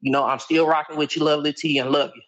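A man's voice: fairly high pitch, flat delivery, quick pace, a bit bright, rough recording. A man calling in to a talk show and speaking casually in slang. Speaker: caller. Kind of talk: casual phone-in call.

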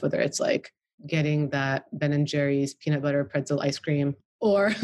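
Woman guest, deep voice, chatty, lots of filler words, from the us capital. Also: a little mellow. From Washington DC, United States. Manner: chatty, frequent "you know" and "like". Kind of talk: casual interview conversation.

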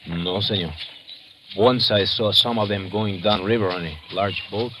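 Actor as low-voiced Spanish-accented jungle guide. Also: male voice, steady tone, lightly acted. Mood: calm, deferential. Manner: measured, formal, simple English. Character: watchful, loyal male jungle guide, calm and capable. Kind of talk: old-time radio adventure drama acting. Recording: noisy vintage radio broadcast recording.

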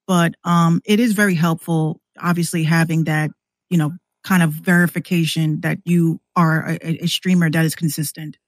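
A woman's voice: deep voice, fairly even intonation, normal speed, no echo. Woman podcast guest with dry, close sound. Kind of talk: casual podcast chat.

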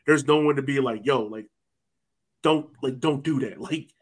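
A man's voice: mid-range voice, normal speed. A man talking casually and colloquially as a sports podcast host, sometimes voicing imagined reactions.

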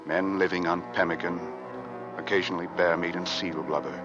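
An actor playing a weary 1850s ship's officer, narrating a doomed Arctic expedition with grave drama in an old-time radio play. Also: male voice, low voice, fairly even intonation, brisk, natural voice. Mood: somber, weary. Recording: muffled vintage radio recording, loud music.

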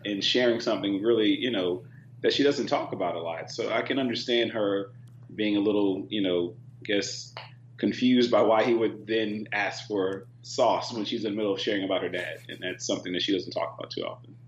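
A man guest on a talk panel, speaking in an earnest, thoughtful and measured way. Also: fairly low pitch, steady tone. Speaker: reality show cast member, guest. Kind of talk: casual panel chat. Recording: dull echoey remote audio with hum.

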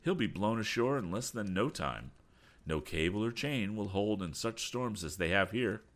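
A man voicing a bold, confident sea captain in an adventure storybook, with a brisk, commanding manner. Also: lowish voice, expressive, voice pushed up, natural voice. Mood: foreboding. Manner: urgent, exclamatory, commanding. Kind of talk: audiobook adventure character.

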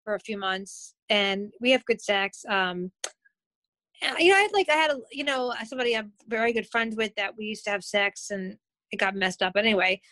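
A woman's voice: mid-range voice, a little fast, pushed and bright. A middle-aged woman speaking as a guest in a casual chat, hesitant with many ums.

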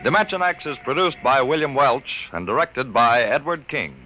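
A male radio announcer reading the closing credits in a formal, measured manner. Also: medium pitch, animated, music in the background, rough recording.

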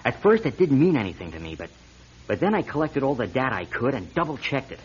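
An actor playing an earnest, insistent auto lab technician turned whistleblower in a radio drama, hesitant at times and urgent at others. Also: male voice, medium pitch, big pitch swings, fast, breathy edge. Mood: nervous, hesitant. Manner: hesitant, then urgent and emphatic. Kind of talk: 1970s-style radio drama acting. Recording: dull lo-fi radio recording.

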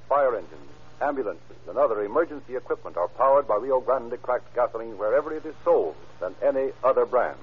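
Male sponsor spokesman, 1930s radio: emphatic, scripted, husky, mid-pitched, tinny vintage sound. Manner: formal, emphatic. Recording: muffled, scratchy 1930s radio recording.

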